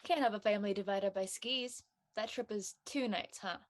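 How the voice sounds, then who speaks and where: A woman's voice: medium pitch, moderate pace, close to an everyday voice. Woman acting a blunt, teasing young woman. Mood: dry teasing. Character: blunt, supportive young woman named Lexi, teasing partner. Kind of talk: acted audio drama dialogue.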